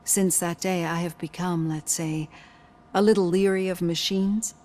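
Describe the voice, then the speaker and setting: A composed female psychologist with a fairly low voice, acted by a woman. She speaks in articulate, measured sentences with a probing clinical manner, as a character in a video game. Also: steady tone, darkish tone, lightly acted. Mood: confiding, measured.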